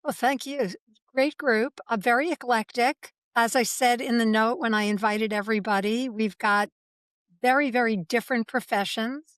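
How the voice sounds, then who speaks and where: A woman's voice: a little high, normal speed, no room sound. A woman hosting a podcast panel who leads the talk in a conversational way, asking each guest in turn. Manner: warm-up questions, conversational. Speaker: podcast host.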